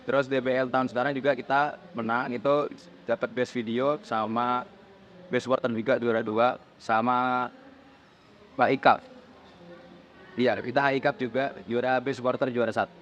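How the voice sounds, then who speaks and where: A man's voice: medium pitch, flat delivery, normal speed, fairly bright tone. A teenage young man, answering casual interview questions in a plain, step-by-step explanatory way. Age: teens. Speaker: podcast guest, student supporters' club leader. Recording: dull lo-fi audio, faint background noise.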